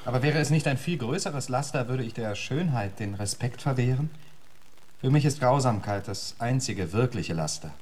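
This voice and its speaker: An actor playing a witty, flirtatious English poet in a radio play, speaking in polished epigrams. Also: male voice, medium pitch, lively intonation, normal speed, darkish tone, lightly acted. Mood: flirtatious, languid.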